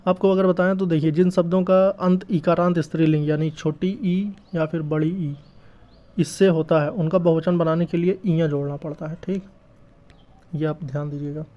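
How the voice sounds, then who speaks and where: A man's voice: on the high side, fairly even intonation, normal speed. A man teaching exam prep, explaining quiz answers step by step and often checking in with his listeners. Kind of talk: explanatory lesson going through quiz questions. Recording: dull lo-fi recording.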